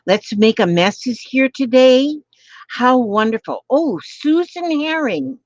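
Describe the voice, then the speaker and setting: A woman hosting a live crafting stream, talking softly with her viewers and gasping over pretty papers. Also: medium pitch, no echo, muffled recording. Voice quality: gentle voice. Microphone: phone.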